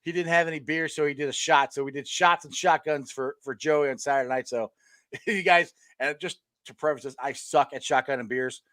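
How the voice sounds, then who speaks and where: A middle-aged man hosting a casual sports chat, telling stories in a rambling, animated way with many restarts and laughs. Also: a little high, a little fast, no room sound, clean studio sound. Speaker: podcast host.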